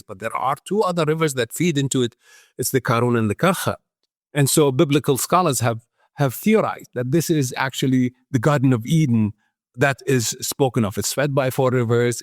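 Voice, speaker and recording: A man's voice: mid-range voice, no room sound, studio quality. Man from Iraq explaining, closely recorded. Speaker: guest expert, conservation organization founder. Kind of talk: explanatory interview conversation.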